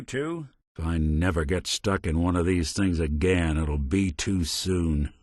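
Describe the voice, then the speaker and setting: A world-weary robot gumshoe from a video game, speaking in a lowish male voice. His delivery is wry and hard-boiled in film-noir style, with lively swings in pitch. The sound is close and dry. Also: no echo.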